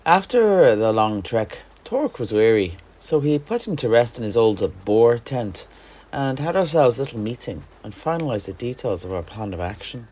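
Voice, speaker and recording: A man playing a wistful alchemist and truth-sayer, recounting his homeland in measured, dramatic, poetic fantasy storytelling. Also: medium pitch, very animated, darkish tone. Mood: solemn recounting.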